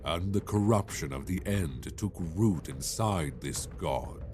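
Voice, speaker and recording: Actor as solemn male elder, fantasy drama: low register, stately delivery, underscored by music. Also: animated, slow pace. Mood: grave. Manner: grave, stately. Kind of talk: fantasy audio drama narration. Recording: music behind the voice.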